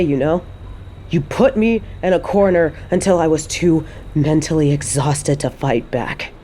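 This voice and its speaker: A woman's voice: sits low, a little mellow, a little hiss or hum. A woman playing a fed-up, defiant mother confronting her toxic ex in a dramatic audio roleplay, scornful and emphatic. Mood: bitter, firm.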